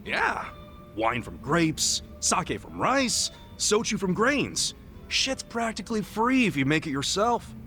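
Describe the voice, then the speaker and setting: A man's voice: high voice, wildly swooping pitch, takes it slowly, pushed and bright, faint music behind, heavily acted. An actor playing a loyal, earnest, streetwise ex-yakuza man with an American accent and casual slangy talk, in a video game dub. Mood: upbeat, boastful. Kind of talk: English video game dub acting, conversational.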